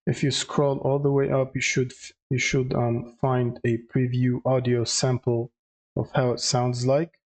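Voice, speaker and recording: Man walking through a software tutorial. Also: mid-range voice, level tone, a little slow. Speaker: tutorial presenter. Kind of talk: step-by-step software tutorial narration.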